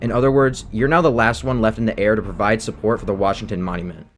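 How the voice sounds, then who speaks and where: A man's voice: on the low side, fairly even intonation, fast. A man reading a scripted, analytical video essay narration about a game.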